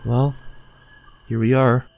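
A young man playing a gentle, concerned friend in a comic dub, speaking in a hesitant, earnest way. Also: medium pitch, flat delivery, takes it slowly. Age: young adult. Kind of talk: animated comic dub acting.

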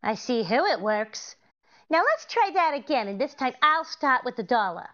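Female actor as a scatterbrained, innocent wife, vintage radio comedy style, raised pitch, slightly dull lo-fi audio. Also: very animated, normal speed, fairly bright tone. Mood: innocent, puzzled. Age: middle-aged. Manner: innocent, earnest. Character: sweet, scatterbrained, literal-minded comedian wife. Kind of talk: 1940s radio comedy acting.

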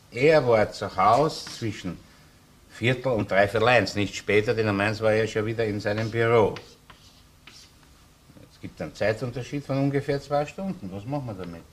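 An actor playing a shrewd middle-aged Viennese chief inspector in a crime drama, thinking a case through aloud. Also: male voice, lowish voice, close to an everyday voice. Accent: Austrian, Viennese. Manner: thinking aloud, tags sentences with "ne". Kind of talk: crime radio play acting.

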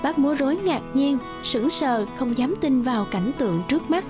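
A woman's voice: sits high, expressive, moderate pace, over music. A woman narrating a scripted fairy tale for children.

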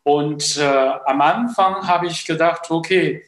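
Guest: a man, thirties, slightly high voice, slow and deliberate, in a roomy, echoey small room. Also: a bit bright. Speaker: poet and translator, guest. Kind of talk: video call conversation. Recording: echoey room on a video call.

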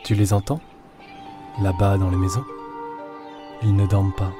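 A man's voice: low voice, level tone, a little slow, loud music behind the voice. A man playing a solemn, mysterious companion in a French audio drama. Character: solemn mysterious companion announcing the hour and year.

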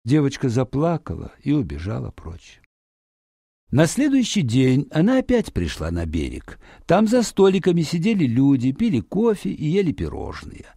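A man narrating a fairy tale for children in an expressive, dramatic storytelling manner. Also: medium pitch, normal speed, creaky, husky, no echo, clean studio sound.

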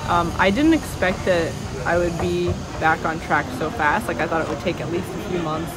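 A woman's voice: fairly low pitch, moderate pace. An American woman chatting casually and musingly, with plenty of "like", as she vlogs on a phone. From United States. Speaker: vlogger. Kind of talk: casual chat. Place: open-air roadside cafe. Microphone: phone at arm's length. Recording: loud street and cafe background noise. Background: traffic and outdoor ambience.

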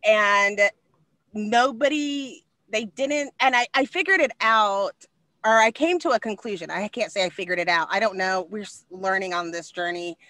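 A woman in her forties co-hosting a podcast over a video call, talking loudly and quickly in a high voice that carries. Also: no echo. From Texas. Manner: animated, loud and fast, with expressive hand gestures. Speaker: podcast co-host. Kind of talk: casual conversation. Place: small room indoors. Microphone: video call webcam.

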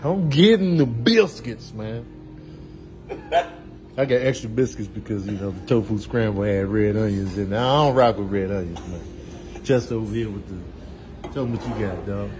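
A man giving comedic, casual commentary in an emphatic, slangy, animated manner. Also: lowish voice, normal speed, faint music behind. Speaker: comedian doing voiceovers and food vlogs.

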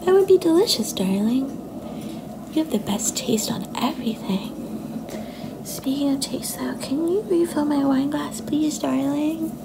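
A woman playing a sweet, insecure young girlfriend in a soft-spoken ASMR roleplay, hesitant and coy, often slipping into a breathy whisper. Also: high-pitched, measured pace. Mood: affectionate, tipsy. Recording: noticeable background noise and ambience.

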